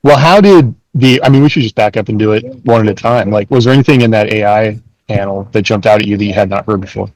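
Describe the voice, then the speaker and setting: A man's voice: on the low side, quick pace, hardly any pauses, darkish tone, dry recording, low-quality recording. A man co-hosting a podcast, casually chatting and putting questions to his colleague. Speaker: podcast co-host asking questions. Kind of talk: casual conversational interviewing.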